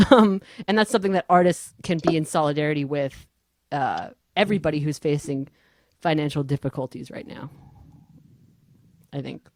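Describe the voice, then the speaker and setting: Female panel host, slightly low and dry; informal, loose, conversational moderating. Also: no echo. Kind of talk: casual chat, hosting an online panel.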